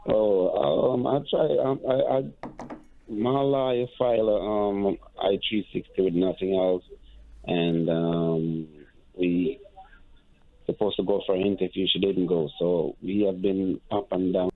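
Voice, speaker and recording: A man phoning a show as a caller, speaking casually and haltingly over a phone line. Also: low-pitched, steady tone. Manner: hesitant, halting. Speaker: caller asking for advice.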